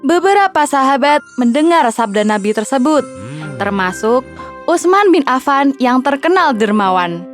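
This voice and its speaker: A woman reading scripted narration for a children's story. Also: high-pitched.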